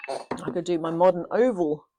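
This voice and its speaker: A woman hosts a casual craft live stream from home. Her voice sits a little low, and she is slightly sniffly. She chats easily, muses over her choices out loud, and laughs often. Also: normal speed, slight background noise. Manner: chatty, thinking aloud, laughs often. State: sniffly from a cold.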